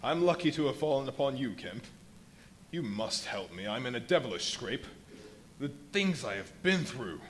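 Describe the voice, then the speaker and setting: A man's voice: mid-range voice, sing-song, normal speed, natural voice. Man acting an imperious, swooping, exhausted fugitive scientist. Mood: satisfied, scheming. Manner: theatrical, imperious, exclamatory. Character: invisible man, ravenous, exhausted, irritable, grandiose fugitive scientist. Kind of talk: live radio play acting. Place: live performance at a brewery venue. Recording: live stage recording with faint noise.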